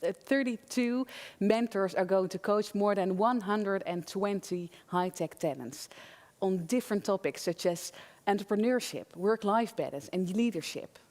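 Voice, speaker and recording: A woman's voice: medium pitch, moderate pace, a little mellow. A woman hosting an online event, presenting the program and introducing a speaker to the audience. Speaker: event host. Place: event studio. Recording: reverberant studio hall.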